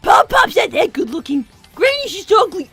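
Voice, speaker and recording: A tiny cartoon fox boy acted by a woman with an extremely high voice that jumps about in pitch. He is blunt and bossy, needling his brother in improvised banter, with music playing behind him. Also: very animated, moderate pace, creaky, fairly bright tone, full character voice.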